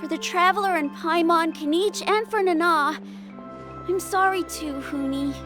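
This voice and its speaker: A woman's voice: extremely high pitch, normal speed, over music. A woman voicing a young, loyal village boy in a video game, boyish, earnest and emphatic. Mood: sheepish. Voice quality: youthful, boyish.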